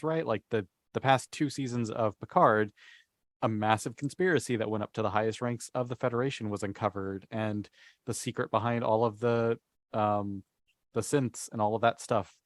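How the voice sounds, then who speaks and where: A man with a medium-pitched voice talks casually on a fan podcast. He rambles enthusiastically as he explains his ideas, recorded dry and close. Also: fairly even intonation, moderate pace, no room sound. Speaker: podcast panelist.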